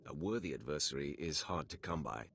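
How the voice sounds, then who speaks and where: An actor playing an arrogant, imposing Sith warrior in a video game, speaking curtly and haughtily. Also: male voice, very deep voice, animated, fairly quick, vocal fry, fairly bright tone, soft background music, exaggerated voice. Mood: composed. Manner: curt, haughty. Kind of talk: scripted game dialogue.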